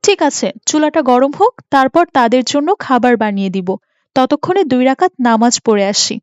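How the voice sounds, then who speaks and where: A woman's voice: sits high, expressive, normal speed, a little mellow, dry recording. A woman voicing a devout wife and mother in a moral cartoon, speaking earnestly and imploringly. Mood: dutiful. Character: devout pleading wife and mother praying to God. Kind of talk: dubbed moral cartoon acting. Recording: muffled audio with faint music behind.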